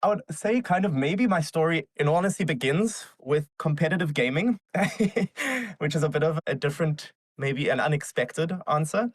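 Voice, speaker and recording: A man in his twenties from South Africa, telling his story as an interview guest in a casual, energetic way. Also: on the high side, normal speed, dry recording, clean studio sound. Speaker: guest, startup founder.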